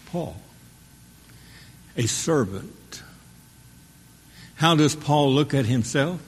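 A man preaching a sermon as pastor, asking the congregation rhetorical questions and pausing for their response. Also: fairly high pitch, animated, slightly rough. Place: church sanctuary. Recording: long hall reverb.

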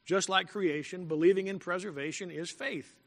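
A male pastor preaching a sermon in a deliberate, emphatic way, repeating key phrases and clearing his throat now and then. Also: high voice. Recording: long echo from a large hall.

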